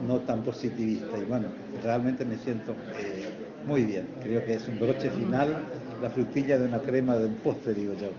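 Dull lo-fi recording, older man, northeastern Argentine accent, mid-pitched voice, earnest halting explanations, interview answers. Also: fairly even intonation. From Puerto Iguazú, Misiones, Argentina. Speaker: retired biology professor being interviewed. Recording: dull lo-fi, loud crowd chatter.

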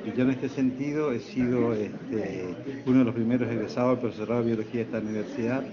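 Behind a thick wall of crowd noise, an older man speaks in a medium-pitched voice with a northeastern Argentine accent. He explains things earnestly as an interviewee, pausing on filler words. The sound is muffled and lo-fi. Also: fairly even intonation. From Puerto Iguazú, Misiones, Argentina. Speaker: retired biology professor being interviewed. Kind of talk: spoken interview answers. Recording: dull lo-fi, loud crowd chatter.

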